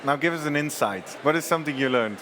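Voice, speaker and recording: A man hosting a live studio show at a conference. His voice sits on the high side. He chats loosely, jokes and laughs between questions. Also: animated, quick pace, a bit bright. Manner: chatty, laughs often, talks in restarts. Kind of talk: loose, joking live interview.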